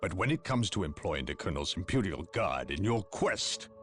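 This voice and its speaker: A haughty inquisitor from a sci-fi game, formal and full of authority. The voice is on the low side and very expressive, set over clear music. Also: male voice, normal speed. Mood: imperious, indignant. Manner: formal, imperious. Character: haughty, authoritative, stern male imperial inquisitor. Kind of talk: scripted game cutscene acting. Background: dramatic music.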